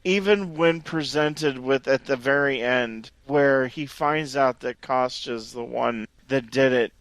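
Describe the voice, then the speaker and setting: A man's voice: mid-range voice, slow pace, fairly bright tone, clear, resonant tone, dry recording. A man in a casual film review chat, making his points emphatically and with conviction. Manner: emphatic, sure of his reading. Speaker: podcast co-host.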